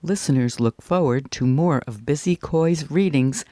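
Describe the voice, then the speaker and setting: A man's voice: on the high side, normal speed, dark tone, no room sound, clean studio sound. A man presenting on the radio, giving a scripted announcement.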